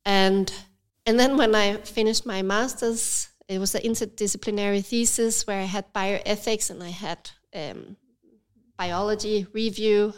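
A woman with a Danish accent and a slight cold, talking thoughtfully in an interview and often pausing with fillers. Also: mid-range voice, steady tone, clear, resonant tone. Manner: thoughtful, hesitant with frequent fillers. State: has a cold, slightly stuffy. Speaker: cafe and yoga studio owner, guest. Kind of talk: casual interview conversation.

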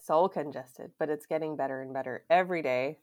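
A woman's voice: deep voice, brisk, a little mellow. A congested woman co-hosting a podcast, chatting casually and giggling. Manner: giggly, chatty with many fillers. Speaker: podcast co-host. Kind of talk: casual chat.

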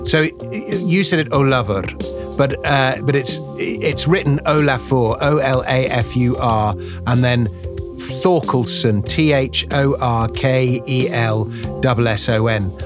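A man hosting a podcast interview, joking and wrapping up the show smoothly. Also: medium pitch, unhurried, music in the background. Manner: jokey, polished, wraps up smoothly. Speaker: podcast host.

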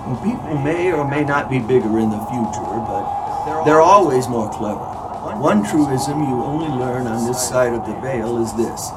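A man's voice: mid-range voice, moderate pace, very noisy, lightly acted. A man playing a jovial know-it-all ghost in an audio drama, explaining things in a wordy, showy way. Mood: smug, explaining.

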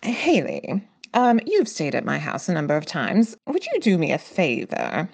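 A woman playing a polite, composed, considerate lady in a tabletop audio drama, with a courteous, measured manner. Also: lowish voice, wildly swooping pitch, gravelly, a little mellow, husky, exaggerated voice. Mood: polite concern.

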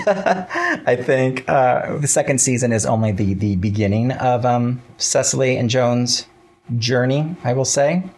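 A man speaking as an interview guest in casual conversation, choosing his words carefully and haltingly. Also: mid-range voice, darkish tone.

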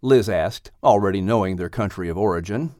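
A man's voice: sits low, brisk, darkish tone, no echo, clean studio sound. A man reading scripted narration for a children's audiobook.

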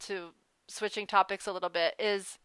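A woman's voice: medium pitch, level tone, a little fast, a bit bright, clean tone, no room sound, studio quality. A woman hosting an interview, encouraging her guest and laughing easily. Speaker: host. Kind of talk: casual interview chat.

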